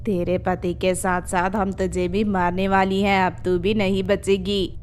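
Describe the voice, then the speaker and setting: Woman acting as menacing female witch, vengeful, lowered pitch, threatening delivery, horror storybook. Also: little pitch movement, measured pace, soft background music, close to an everyday voice. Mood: angry, threatening. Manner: threatening, scolding.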